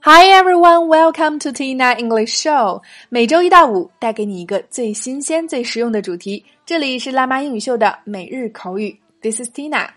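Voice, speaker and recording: A woman's voice: high voice, animated, a little fast, few pauses, a bit bright. Upbeat woman hosting a language lesson. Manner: clear, upbeat teaching delivery, repeating key phrases. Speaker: English teacher and show host. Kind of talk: scripted lesson narration. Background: faint background music.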